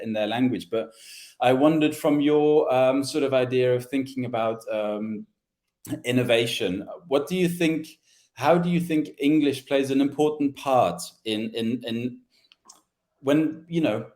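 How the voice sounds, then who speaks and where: Male English teacher hosting a panel, mid-pitched, measured and musing. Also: darkish tone. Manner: measured, thinking aloud with hedging fillers. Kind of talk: conversational panel discussion.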